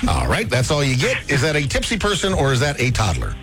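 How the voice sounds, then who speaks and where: A man hosting a radio game segment, bantering in a jokey, animated way. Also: mid-range voice, quick pace. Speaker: radio show host. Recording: loud music bed behind. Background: music.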